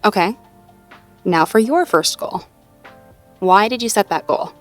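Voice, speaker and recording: A woman playing a sweet, supportive girlfriend in an intimate audio roleplay, talking in a gently upbeat, conversational way as she thinks aloud. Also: mid-range voice, expressive, unhurried, soft background music, lightly acted. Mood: thoughtful, supportive. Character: sweet, supportive girlfriend working through goals with partner.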